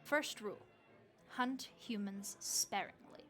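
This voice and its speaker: A woman voicing a friendly, motherly vampire innkeeper who speaks gently and reassuringly to the listener in an audio roleplay. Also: mid-range voice, slow, soft background music. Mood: sympathetic, mentoring.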